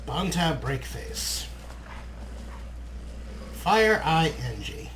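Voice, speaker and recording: Male let's play host, lively swooping delivery, theatrical phrasing, faint noise behind. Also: on the high side, extremely slow. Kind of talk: gameplay commentary.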